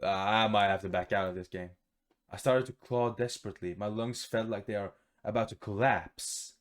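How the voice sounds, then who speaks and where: A man reading a visual novel aloud for a gaming video in a casual, expressive, sweary way, adding his own playful reactions. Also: on the low side, normal speed.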